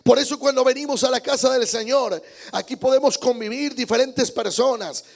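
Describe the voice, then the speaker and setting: A man's voice: very high voice, normal speed, vocal fry. A male pastor preaching a Sunday sermon in church, emphatic, repeating key words and calling out amens. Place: church service.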